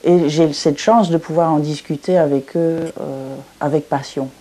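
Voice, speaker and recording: A middle-aged woman from Belgium, an artist interviewed on television, speaking in a thoughtful, measured way and searching for her words. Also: low-pitched, steady tone, warm tone. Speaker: painter and philosopher interviewed.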